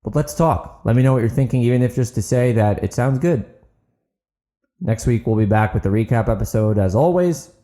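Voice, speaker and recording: A man narrating and hosting an audiobook podcast, with earnest, deliberate phrasing. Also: medium pitch, mellow. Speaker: podcast host, author and narrator.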